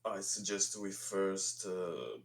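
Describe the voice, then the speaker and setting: A man's voice: deep voice, expressive, slow pace, vocal fry. A man playing a tabletop game, talking casually and partly in character. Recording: roomy sound with faint background noise.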